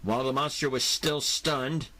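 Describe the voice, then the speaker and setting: A man's voice: medium pitch, steady tone, moderate pace. A man narrating a scripted action audio drama as its storyteller. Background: music.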